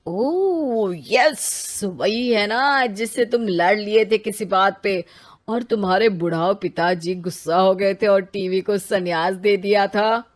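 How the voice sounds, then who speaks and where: Magic television newsreader, cartoon female performer: touchy, chatty, teasing, unhurried. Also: mid-range voice, natural voice. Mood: chatty, knowing. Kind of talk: Hindi moral-story cartoon dubbing.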